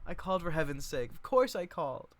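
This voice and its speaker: A man acts out a breezy young woman in an amateur play reading. The pitch sits raised and jumps around. The delivery is full of exclamations and questions. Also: very animated, fast talker, fairly bright tone. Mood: warm, defensive. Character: breezy twenty-seven-year-old woman, returning sister in stage play. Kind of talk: amateur stage play read-through.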